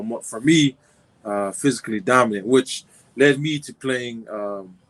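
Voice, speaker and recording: Laid-back young man, rambling. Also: mid-range voice. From Compton, California. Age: 20s. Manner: laid-back, rambling, many fillers and restarts. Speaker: football player guest. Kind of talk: casual interview.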